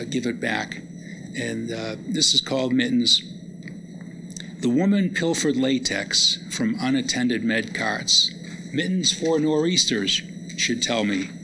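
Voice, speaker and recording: A middle-aged man giving a public talk, introducing and reciting poems at a live lecture. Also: mid-range voice. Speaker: college lecturer and poet presenting readings. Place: live lecture event before listeners. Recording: noisy live hall recording.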